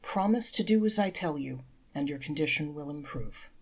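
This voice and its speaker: Lady doing a gentle serene rabbi, grave and fatherly, storybook style. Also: low-pitched, animated, normal speed, slightly rough, exaggerated voice. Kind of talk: storybook character voice.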